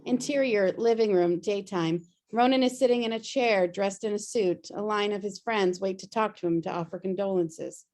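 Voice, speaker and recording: A woman's voice: medium pitch, normal speed, few pauses, a little mellow. Female narrator, mid-range voice, scripted screenplay directions, table read. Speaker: stage directions reader. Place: remote video call.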